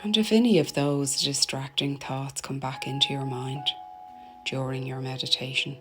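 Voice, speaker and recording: A woman narrating a guided meditation in a soothing, gentle, deliberate manner, letting her phrases end softly. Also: a bass voice, steady tone. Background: meditation music.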